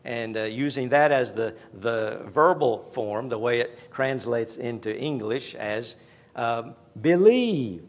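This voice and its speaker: A man preaching a sermon, teaching slowly and deliberately, and repeating key words for emphasis. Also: mid-range voice. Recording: dull hall reverb.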